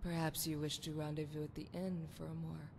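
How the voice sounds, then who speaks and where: A woman's voice: flat delivery, brisk, creaky. A woman playing a seductive, flirtatious priestess of a love goddess in a video game, with sultry, poetic, theatrical phrasing. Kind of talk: scripted video game character dialogue. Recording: long hall reverb, noisy rumbling background.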